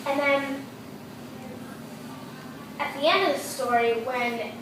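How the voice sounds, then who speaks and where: A high-pitched female student answers slowly in class, halting and full of ums. She is heard from far off in a very echoey classroom with background noise.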